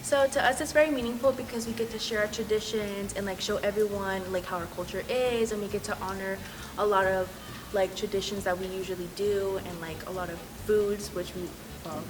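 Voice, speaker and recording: A woman's voice: medium pitch, fairly even intonation, fairly quick, noisy background. A teenage girl answering interview questions in a chatty way, full of "like" fillers. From Chile and El Salvador. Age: teens. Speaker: interviewed student.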